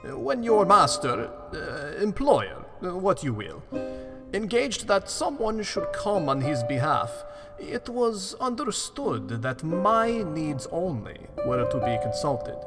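An actor playing a courteous, commanding old vampire count in a radio play, speaking formally with deliberate, halting phrasing. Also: male voice, high voice, big pitch swings, heavily acted. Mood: commanding, insistent. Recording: long hall-like reverb, music underneath. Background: plucked guitar music.

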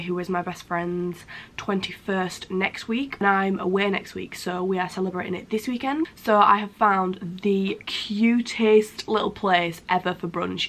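A young woman, chatting casually to camera in her bedroom, slightly croaky from a cold and full of "like" fillers. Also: mid-range voice, a bit bright. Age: young adult. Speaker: vlogger. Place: bedroom at home, indoors. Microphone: camera in front at close range.

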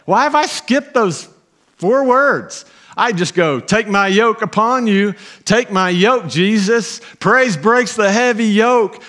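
A middle-aged man preaching a sermon as pastor on a church stage, animated, with pauses and emphatic rises. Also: a little high.

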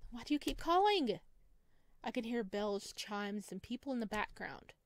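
Expressive woman reading a visual novel, dry sound. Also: a little high, moderate pace, no echo. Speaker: video game streamer reading aloud. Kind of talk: expressive visual novel read-aloud with commentary.

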